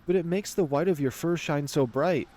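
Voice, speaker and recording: A man's voice: a little high, normal speed, a little mellow, voice pushed up, natural voice. A man voicing a mischievous young male lion scout with a teasing, playful manner in a visual novel.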